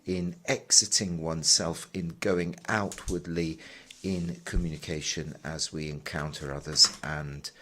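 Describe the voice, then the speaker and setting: A man interpreting a conference panel live, his voice extremely deep yet bright in tone. He speaks in a measured way, with pauses and fillers as he keeps pace with the speaker. Faint noise sits under the voice. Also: steady tone. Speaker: simultaneous interpreter.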